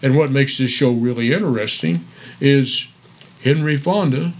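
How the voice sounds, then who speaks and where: Man, mid pitch, co-host, casual conversation, slightly dull audio. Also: moderate pace. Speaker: radio show co-host. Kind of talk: casual chat.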